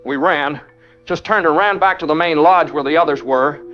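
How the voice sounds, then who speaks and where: A man playing a shaken construction foreman who survived a massacre, giving a halting, grave eyewitness account in an audio drama news phone-in. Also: fairly high pitch, soft background music, close to an everyday voice. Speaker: interviewed survivor. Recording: dull lo-fi phone line.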